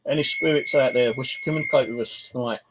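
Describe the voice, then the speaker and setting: A man's voice: medium pitch, fast, background noise. A man hosting a paranormal investigation, speaking informally as he comments and calls out questions. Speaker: ghost-hunting channel host. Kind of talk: casual spoken commentary, calling out to spirits.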